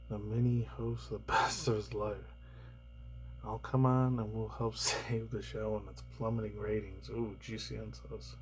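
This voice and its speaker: A man rambling through casual news commentary, swearing often and laughing between remarks, his voice slightly raspy and tired. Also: lowish voice, darkish tone, slight background noise. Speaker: commentary YouTuber.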